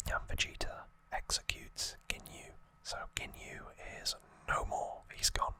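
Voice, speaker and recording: A man's voice: a little slow, bright, forceful tone. A man whispering as an ASMR host, giving an informal, rambling trivia recap and correcting himself as he goes.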